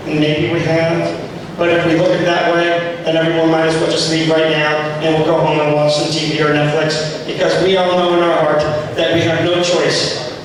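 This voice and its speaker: A hoarse man giving an impassioned speech at a political group meeting, rallying the room and asking it questions. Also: fairly high pitch. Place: meeting hall. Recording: echoey hall from far off, noisy.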